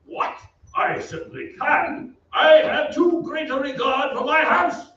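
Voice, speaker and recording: A man's voice: very high-pitched, a little slow, a bit bright. A man playing a proud, stern English lord in old-time radio acting, declaiming haughtily. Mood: defiant. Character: proud, stern English lord, a grown man's father, defending family honor. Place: theatre stage. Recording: echoey stage, distant mic, dull, faint noise.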